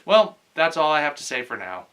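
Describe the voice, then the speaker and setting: A man with a fairly high, bright voice presents and reads aloud to viewers. His pitch swings widely as he goes. The delivery is articulate and theatrical, like a keen amateur storyteller. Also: big pitch swings, fairly quick. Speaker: vlog host reading aloud from a novel. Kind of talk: book read-aloud with casual address to viewers.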